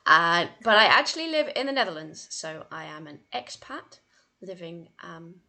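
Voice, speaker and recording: A woman's voice: on the low side, big pitch swings, moderate pace, sharp tone. A British woman in her thirties, a guest author chatting casually on a podcast and laughing easily. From Britain.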